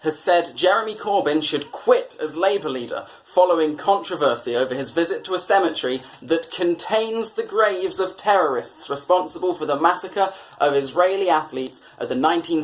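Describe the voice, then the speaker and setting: A man's voice: on the high side, expressive, fairly quick, hardly any pauses, poor audio. Sardonic British man mocking the news. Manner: sardonic, mock-exasperated. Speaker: comedy presenter. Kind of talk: satirical scripted newspaper commentary.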